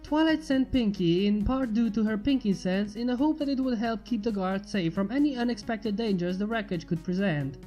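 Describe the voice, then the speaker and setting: A woman's voice: medium pitch, moderate pace, few pauses, warm tone, dull audio. A woman reading a scripted story aloud as its narrator. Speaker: fan fiction reader. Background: music.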